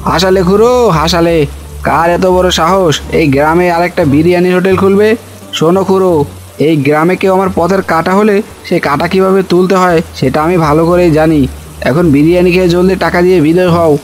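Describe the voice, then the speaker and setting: A man playing a greedy, arrogant village restaurant owner in an animated folk tale, haughty and threatening. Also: sits high, quick pace, slight background noise, natural voice.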